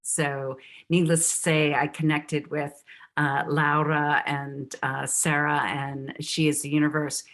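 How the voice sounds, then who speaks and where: A woman's voice: low voice, little pitch movement, takes it slowly, fairly bright tone. A woman hosting a live storytelling event over a video call, loose and upbeat, full of fillers. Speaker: host.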